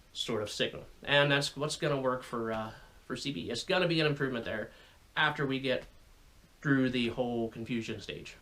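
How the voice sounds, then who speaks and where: A man's voice: mid-range voice, a bit bright. An American man hosting an informal hobbyist explainer video, speaking in a folksy, plain-spoken, colloquial way. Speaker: radio hobbyist video host.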